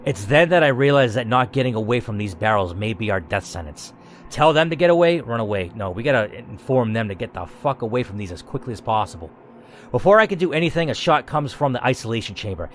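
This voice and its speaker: A man's voice: mid-range voice, expressive, quick pace, a little hiss or hum. A man narrating a story game dramatically and reacting to its choices with emphatic commentary, as a gaming host.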